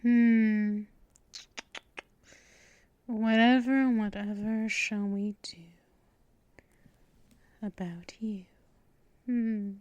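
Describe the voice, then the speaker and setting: A woman voicing a possessive, teasing female vampire mistress in an ASMR audio roleplay, half-whispering in a breathy, intimate way. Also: on the high side, little pitch movement, drawn-out words, pauses often, darkish tone, no echo, dull audio.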